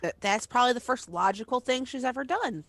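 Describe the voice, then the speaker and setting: A woman's voice: fairly high pitch, lively intonation, fast, gravelly, fairly bright tone, no room sound. A woman on a casual panel, chiming in with chatty comments on a reading. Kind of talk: casual chat.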